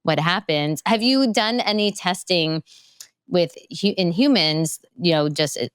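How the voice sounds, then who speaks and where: A chatty woman host asks questions in a loose, casual way, full of fillers. Her voice is notably low for a woman, and the sound is dry and close, with no room around it. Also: unhurried, smooth, dry recording. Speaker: podcast host. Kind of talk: casual interview chat.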